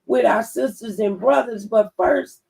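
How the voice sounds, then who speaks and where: Host: gravelly-voiced woman on radio talk, emphatic testifier, a bit off-mic. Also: on the high side, a little slow, a little mellow, far from the mic. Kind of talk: radio talk show conversation. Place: radio studio.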